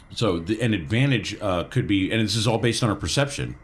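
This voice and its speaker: A man playing a tabletop game, chatting casually and in character, excitable and given to repeating phrases. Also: lowish voice, measured pace, a little hiss or hum.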